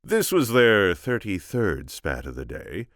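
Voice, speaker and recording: Low voiced guy narrating a storybook, really lively delivery, dry close recording. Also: a little slow, no echo. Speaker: amateur audiobook reader.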